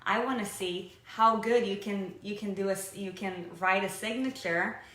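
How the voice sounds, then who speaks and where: Bright-voiced woman chatting candidly. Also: medium pitch, fairly even intonation, normal speed, echoey room. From Israel. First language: not English. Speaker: co-host of a coaching show. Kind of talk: casual, explanatory chat.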